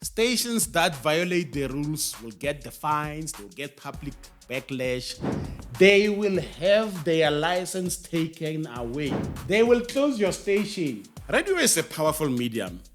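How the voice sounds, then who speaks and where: A man's voice: a little high, very animated, a little slow, soft background music. A South African man hosting an educational show, reading a scripted explainer in an emphatic presenter's manner with conversational asides. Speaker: host of an educational show on radio broadcasting.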